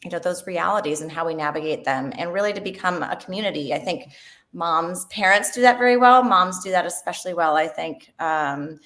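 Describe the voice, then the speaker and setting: A woman's voice: on the low side, fairly quick, fairly bright tone. A woman in her forties, a dietitian and founder, speaking earnestly on a webinar panel. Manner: earnest, enthusiastic, with fillers. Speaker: registered dietitian, company founder.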